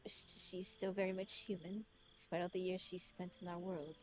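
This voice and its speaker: A woman voicing a lonely, remorseful man with a British accent, reading his confession in a solemn, literary, measured way. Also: on the low side, little pitch movement, slight background noise, close to an everyday voice, rough recording.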